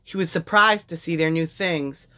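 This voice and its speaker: A woman's voice: sits low, normal speed, pushed and bright. A woman reading a children's story aloud as narrator. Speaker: storybook reader.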